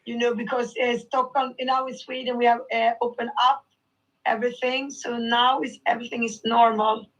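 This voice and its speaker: A woman's voice: fairly high pitch, level tone, moderate pace, highs cut off. A woman chatting casually as a participant in an online group call. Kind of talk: casual chat.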